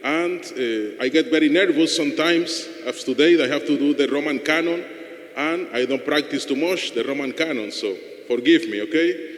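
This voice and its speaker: Spanish-accented priest in an echoing church. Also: male voice, a little high. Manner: halting non-native English with "no?" tags. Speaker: parish priest. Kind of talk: homily and informal remarks to a congregation. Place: inside a church. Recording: echoey church with long reverb.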